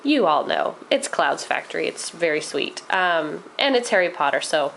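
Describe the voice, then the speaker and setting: A woman's voice: sits low, sing-song, moderate pace, gravelly, fairly bright tone, slight background noise, poor audio. A young woman chatting enthusiastically about her crafts with lots of ums, a little nasal while getting over a cold. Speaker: crafting vlogger. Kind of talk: casual show-and-tell vlog.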